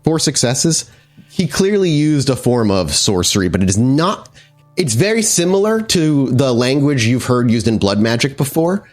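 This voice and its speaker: A man narrating a tabletop vampire game as its game master, in a dramatic, halting storytelling manner. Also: medium pitch, very animated, faint music behind.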